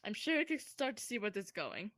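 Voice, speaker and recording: A young woman casually telling a personal story to a friend in a rambling, animated way, swearing freely. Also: medium pitch, quick pace, no echo.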